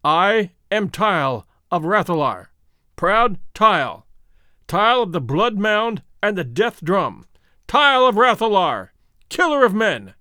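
A man's voice: sits high, very animated, measured pace, pushed and bright, clean tone, no echo, studio quality, heavily acted. A man voicing proud, bloodthirsty ancient warrior spirits in an audiobook, declaiming grandly like an incantation. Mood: proud, boastful.

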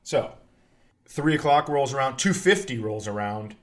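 A man's voice: medium pitch, expressive, normal speed, fairly bright tone. A middle-aged man and podcast host telling casual comic stories in a rambling, profane way.

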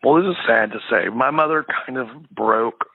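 A young man with a naturally husky, raspy voice, recalling his family's hardship in an interview, sometimes breaking up with emotion. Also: mid-range voice, normal speed. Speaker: interviewee, victim's stepson. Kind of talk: recorded interview clip. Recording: tinny phone-line audio.

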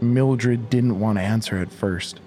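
A man narrating a scripted horror audio drama in a measured, literary storytelling manner. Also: lowish voice, dark tone, slight background noise.

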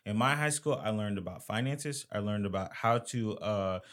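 A man's voice: on the low side, normal speed. A man from Florida co-hosting a podcast, telling stories emphatically in casual discussion. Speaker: podcast co-host.

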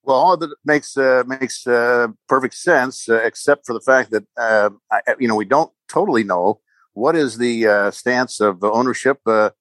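A man giving casual sports podcast analysis as a guest commentator, halting and hedging with many ums and repeated words. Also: mid-range voice, a bit bright, no echo. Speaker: sports commentator guest, former radio play-by-play announcer.

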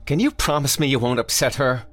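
A man voicing a fussy, excitable male scientist doctor who stammers when cornered. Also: medium pitch, a little fast, faint music behind, pitched above the performer's own voice, close to an everyday voice.

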